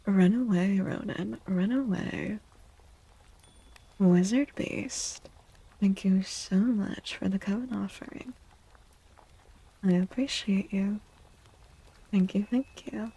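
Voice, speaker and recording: Hushed, husky woman speaking very softly. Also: medium pitch, slow pace, long pauses, poor audio. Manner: hushed, often slipping into whispers. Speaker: streamer hosting a live tarot reading. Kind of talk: soft-spoken ASMR.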